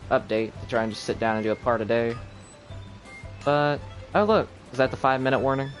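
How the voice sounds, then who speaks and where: A man's voice: medium pitch, a little fast. A man casually commentating as he streams a game, chatting and muttering to himself. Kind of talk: casual live gameplay commentary. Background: video game music.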